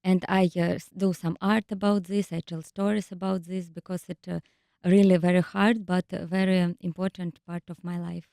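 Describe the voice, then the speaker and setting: A woman from Ukraine speaking English with a Ukrainian accent, answering carefully and haltingly with many fillers. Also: on the low side, flat delivery, creaky, warm tone, dry recording, clean studio sound. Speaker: visual artist and storyteller. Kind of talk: interview answers. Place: radio studio.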